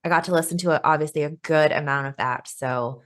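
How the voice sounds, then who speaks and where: A woman in her thirties co-hosting a book podcast, gushing and quick to laugh in casual chat. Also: low-pitched, a bit bright. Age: 30s. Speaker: podcast co-host.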